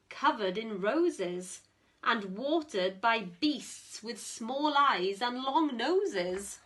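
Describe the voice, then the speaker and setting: A woman reading a rhyming picture book aloud to children in a theatrical, deliberate way with strong emphasis. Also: a little high, fairly bright tone. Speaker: storytime reader, teacher.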